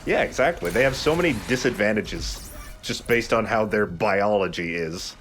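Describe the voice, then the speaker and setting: A man's voice: fairly low pitch, moderate pace, fairly bright tone, soft background music. A man giving casual let's play commentary while gaming.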